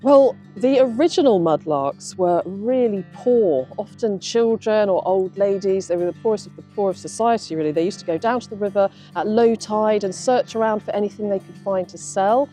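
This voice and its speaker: Female expert guest, mellow mid-range voice, informal explainer, gentle guitar bed. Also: mid-range voice, normal speed, few pauses. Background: soft guitar music.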